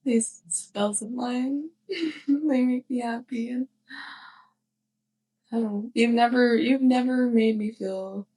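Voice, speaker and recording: A woman playing a sweet, clumsy young witch neighbor in an intimate ASMR roleplay, speaking softly and hesitantly with shy affection. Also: a little high, heavily acted, low-quality recording. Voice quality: soft, breathy. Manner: hesitant, giggly rambling.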